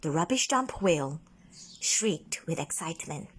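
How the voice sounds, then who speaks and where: A woman narrating a children's bedtime story in a deliberate, dramatic manner, stretching words for emphasis. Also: sits low, lively intonation, slightly rough. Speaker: speech and drama teacher reading a story.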